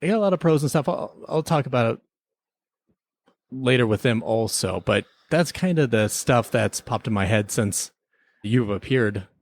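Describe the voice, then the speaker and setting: A man's voice: medium pitch, expressive, normal speed, no room sound. Man, mid-range voice, conversational podcast reviewer, informal delivery, dry sound with no room. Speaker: podcast co-host. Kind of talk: casual conversational TV episode review.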